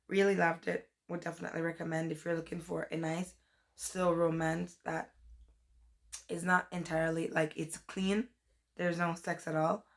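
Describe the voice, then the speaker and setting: A young woman whose voice is hoarse from a cold, chatting casually and rambling a little as she reviews books in a vlog. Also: lowish voice, flat delivery, moderate pace. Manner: chatty, rambling, says "like" and "um" often. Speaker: book vlogger.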